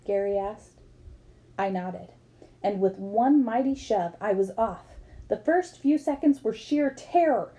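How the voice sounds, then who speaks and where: A woman's voice: medium pitch, lively intonation, slow, mellow. A woman reading a book aloud expressively, as for children, acting up the dialogue. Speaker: book reader.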